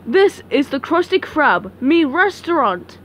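A woman's voice: high-pitched, sing-song, measured pace, a bit bright, voice pushed down, heavily acted. A woman voicing a greedy, blustering older male crab who owns a restaurant, in an amateur cartoon dub. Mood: firm.

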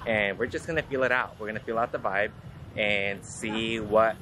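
A man's voice: fairly low pitch, steady tone, moderate pace, background noise. A man in his thirties talking earnestly and with enthusiasm as a travel vlogger, filmed at arm's length on a road trip. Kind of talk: casual travel vlog. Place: outdoors at a church and in a car. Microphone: handheld camera at arm's length.

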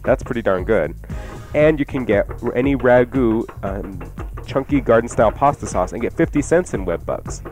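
A man's voice: mid-range voice, lively intonation, normal speed, a little mellow. A man hosting a radio cooking show, talking in a casual, chatty, colloquial way. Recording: radio broadcast with music.

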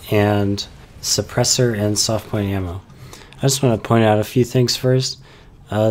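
Young man, game commentary voice-over, low voice, flat delivery, slightly stuffy from a cold, calm, lots of "uh". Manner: calm, explanatory, many "uh" fillers. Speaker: gameplay commentator.

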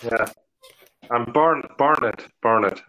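A man's voice: mid-range voice, slow pace, sharp tone. A man from Ireland with an Irish accent, chatting casually on a video call, swearing now and then and laughing a lot. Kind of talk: casual chat. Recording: dull lo-fi call audio.

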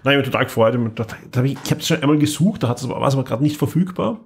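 A man chats as a podcast host, keen and animated, often restarting his sentences. His voice is husky with a breathy edge, in a mid pitch. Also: quick pace. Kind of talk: casual chat.

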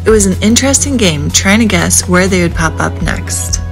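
A woman reading a calm, informative voice-over for a family travel vlog. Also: medium pitch, normal speed. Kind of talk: scripted voice-over narration. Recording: voice-over with loud music behind.

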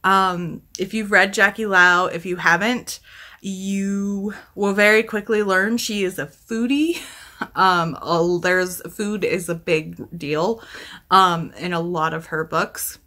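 A woman's voice: lowish voice, fairly even intonation, slow, pushed and bright. A woman in her thirties chatting casually to camera as a book vlogger, rambling and correcting herself as she thinks aloud. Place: small room at home, indoors.

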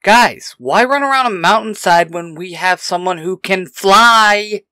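A performer playing a brisk, practical hero ranger in an audio drama. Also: mid-range voice, pushed and bright, smooth, dry recording, studio quality. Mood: exasperated. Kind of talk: audio drama character acting.

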